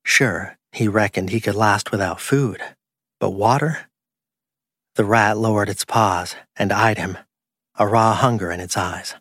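A man's voice: lowish voice, a little slow, fairly bright tone, no echo. A man reading crime fiction as a scripted audiobook narration.

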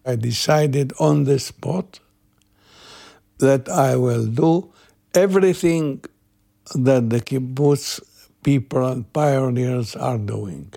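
A man in his eighties from Iraq with an Iraqi Arabic accent, a native Arabic speaker, telling personal memories in halting, repetitive phrases. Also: medium pitch, gravelly, mellow. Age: 80s. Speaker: interviewee recalling his youth. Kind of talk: personal oral storytelling.

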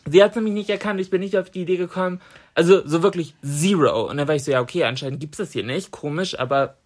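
A woman's voice: fairly low pitch, fast talker. A woman in her thirties, a podcast co-host, telling an anecdote in casual chat and acting out what she said back then. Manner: animated storyteller, laughs often, acts out her own quotes.

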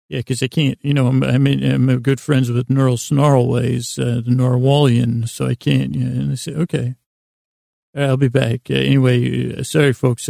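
A man rambles through a whimsical sleep podcast monologue in a deeply gravelly, creaking voice. His tone is dark and relaxed, recorded close and dry. He halts, loses the thread and circles back in an absent-minded way. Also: no echo. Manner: meandering, halting, absent-minded, trailing off and doubling back. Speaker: podcast host.

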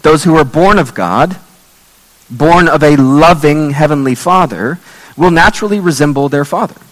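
A man preaching a sermon as pastor, earnest and measured, reading scripture aloud while his voice is going hoarse. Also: on the high side, in a large hall, rough recording. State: losing his voice.

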